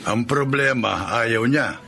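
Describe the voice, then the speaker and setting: Gentle, fatherly older Filipino man. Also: mid-range voice, vocal fry, slight background noise, exaggerated voice. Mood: concerned, fatherly. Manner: gentle, measured, sympathetic. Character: kindly older male employer, fatherly, concerned, calm. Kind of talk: Filipino radio drama acting.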